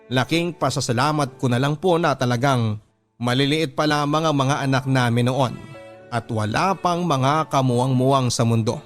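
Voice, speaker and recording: A man with a Filipino accent narrating a radio drama letter in the first person as a heartbroken, long-suffering wife, earnest and measured. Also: medium pitch, lightly acted. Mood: resigned, hurt. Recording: music bed under narration. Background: background music.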